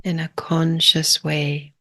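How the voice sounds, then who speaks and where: Slow, low-voiced woman guiding meditation. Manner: calm, unhurried, hushed phrases with long pauses. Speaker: meditation guide. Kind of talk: guided meditation, improvised.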